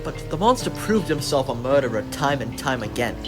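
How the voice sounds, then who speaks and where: A man's voice: mid-range voice, big pitch swings, brisk, lightly acted. Actor as urgent, earnest male friend. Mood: alarmed. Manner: urgent, pleading, theatrical. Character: earnest, alarmed male friend urging caution. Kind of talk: old-time radio drama acting. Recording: clear dramatic music behind.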